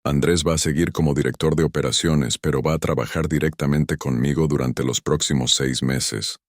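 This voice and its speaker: A man narrating a dramatic first-person story in a measured, stern manner. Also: rumbling low, fairly even intonation, no echo, clean studio sound.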